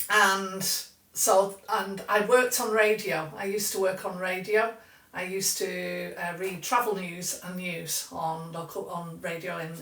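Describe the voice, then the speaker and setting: Chatty woman with softened Mancunian accent. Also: medium pitch, reverberant, recorded from a distance. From England. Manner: chatty, self-correcting, restarts often. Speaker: English teacher. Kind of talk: live-streamed language lesson, chatting with viewers.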